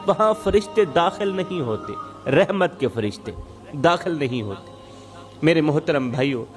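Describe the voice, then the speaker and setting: A man delivers an emotive sermon in a high voice that rises and falls sharply. He speaks rhetorically, restating phrases for dramatic emphasis as he tells a moral story. Music plays behind him. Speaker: Islamic preacher and storyteller. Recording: background music under the voice.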